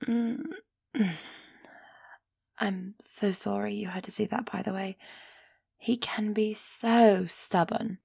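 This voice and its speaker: A woman's voice: medium pitch, steady tone, slow pace, creaky, long pauses, darkish tone, slightly rough, dry recording, exaggerated voice. A woman playing a young runaway elf heiress in an intimate roleplay audio drama, defiant yet anxious and affectionate, often sighing and sinking into whispers. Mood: weary, tender. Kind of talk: roleplay ASMR audio drama, close and breathy.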